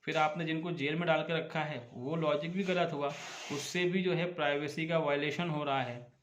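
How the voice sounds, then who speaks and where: A man speaks in a roomy, very echoey space. His voice sits a little high for a man. He explains points like a lawyer addressing viewers, pressing his case with emphasis and rhetorical questions. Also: fairly even intonation, moderate pace, a bit bright, a little hiss or hum. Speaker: advocate giving legal advice as channel host.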